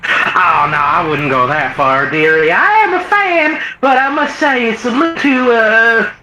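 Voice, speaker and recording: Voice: mid-range voice, measured pace, reverberant, close to an everyday voice. A performer voicing Mama Bear, a folksy older trucker with a Texan accent, rambling in homespun sayings over a noisy radio line. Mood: chatty. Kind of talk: improvised comedy chat.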